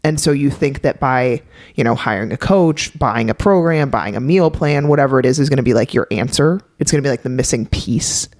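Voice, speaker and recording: A young adult woman co-hosting a health podcast, talking in a chatty, quick way with frequent laughs. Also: very deep voice, darkish tone, no echo, studio quality. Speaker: podcast co-host, health coach. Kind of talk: casual podcast chat.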